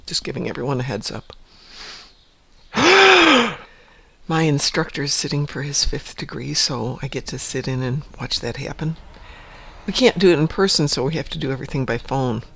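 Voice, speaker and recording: A man's voice: a little high, very animated, normal speed, creaky, pauses often, pushed and bright. A man hosting a casual gameplay livestream, chatting easily with co-hosts and laughing often. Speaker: livestream host. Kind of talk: casual gameplay stream chat.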